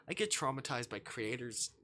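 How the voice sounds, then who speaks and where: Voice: medium pitch, pushed and bright, slight background noise. A streamer chatting casually and reading a visual novel aloud, rambling, jokey and easily sidetracked.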